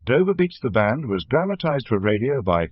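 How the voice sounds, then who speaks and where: A man's voice: mid-range voice, sing-song, moderate pace, no echo, lo-fi sound. A man reading scripted drama credits as a radio announcer.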